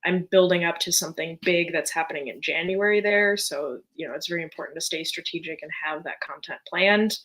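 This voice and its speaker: A woman joins a podcast panel from a video call. Her voice sits slightly low, and her manner is upbeat and joking. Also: fairly quick, hardly any pauses, a bit bright. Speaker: podcast guest, business owner. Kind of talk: casual panel chat.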